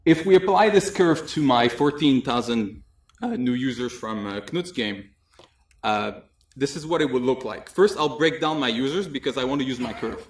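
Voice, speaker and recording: A young man presents at a conference in a relaxed, chatty way, sprinkling in light jokes and "uh" fillers. His voice sits at a middle pitch and is picked up by a desk mic from a little way off. The room has a noticeable echo. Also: moderate pace, slight background noise. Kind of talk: technical conference talk. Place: conference room with audience, indoors. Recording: echoey room, mic at a distance.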